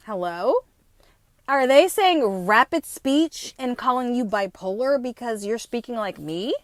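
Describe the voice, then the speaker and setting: Solo podcast host, woman in her 50s, touch of jersey accent, rapid profane rambling, higher voice, dry sound. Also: animated, no echo. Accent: New Jersey, at times. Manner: fast-talking, profane and rambling; emphatic, with frequent tag questions. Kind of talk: unscripted podcast monologue.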